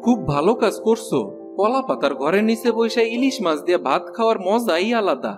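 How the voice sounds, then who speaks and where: A man's voice: sits high, expressive, fairly quick, over music. A man with a rural Bangladeshi accent playing a humble, forgiving poor village husband in a cartoon, plain-spoken and deferential. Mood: earnest. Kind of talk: cartoon dialogue acting.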